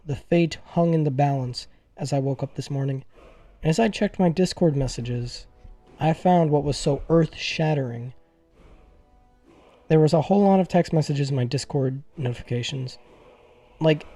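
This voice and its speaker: A man giving casual gaming commentary to viewers in an excitable, gushing manner, as the host of a news video. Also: fairly high pitch, no room sound.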